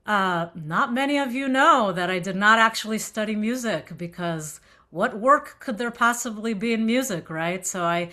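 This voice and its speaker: A woman's voice: mid-range voice, normal speed, bright, forceful tone. A middle-aged woman from Israel with a light Israeli accent, giving a casual talk to a group, chatty and full of fillers and restarts. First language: Hebrew. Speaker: synagogue musician giving a talk.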